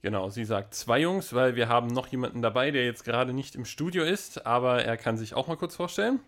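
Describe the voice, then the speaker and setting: A man's voice: mid-range voice, lively intonation, a little fast, fairly bright tone. A man in his twenties hosting a student podcast, with an upbeat intro that sounds read from a script. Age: 20s. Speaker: podcast host.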